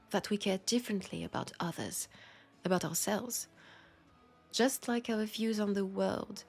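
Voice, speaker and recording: A woman acts out a world-weary, seductive female vampire with a French accent. She speaks slowly and intimately in a soft, husky voice that often drops toward a whisper. Faint music plays behind this audio drama. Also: mid-range voice. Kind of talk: intimate scripted audio roleplay monologue.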